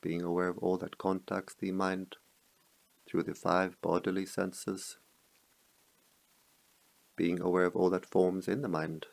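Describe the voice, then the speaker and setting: Low, gravelly male meditation guide. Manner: calm, measured, with long pauses between short phrases. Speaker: meditation teacher.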